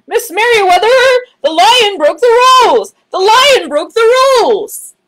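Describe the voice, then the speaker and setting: A woman's voice: very high-pitched, big pitch swings, measured pace, voice pushed up, heavily acted, rough recording. Woman acting a fussy, emphatic male librarian. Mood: alarmed, indignant. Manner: emphatic, indignant, loud exclamations. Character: fussy, officious male librarian, rule-bound and easily flustered. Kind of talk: storybook read-aloud character.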